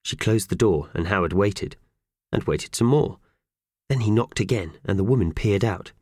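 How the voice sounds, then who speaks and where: A man narrating a children's audiobook in an animated storytelling style. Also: on the low side, normal speed, no room sound, clean studio sound.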